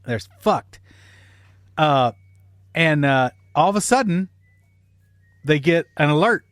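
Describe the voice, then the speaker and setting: A man's voice: high voice, a bit bright, dry recording, clean studio sound. A man casually telling a long comic story, swearing freely and acting out bits of dialogue. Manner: profane, acts out quoted dialogue. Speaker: comedy podcast storyteller.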